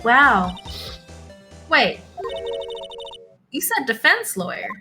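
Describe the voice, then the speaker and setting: A woman's voice: high-pitched, very animated, extremely slow, heavily acted. A woman voicing a naive, earnest teenage girl assistant who is easily amazed, in a live game fan dub. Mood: surprised. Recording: music behind the voice.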